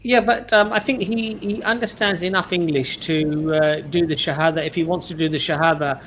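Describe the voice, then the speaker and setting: Patient stream host, high-pitched man, steady and guiding. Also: moderate pace, slight background noise, low-quality recording. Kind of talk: hosting a live stream. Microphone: video call.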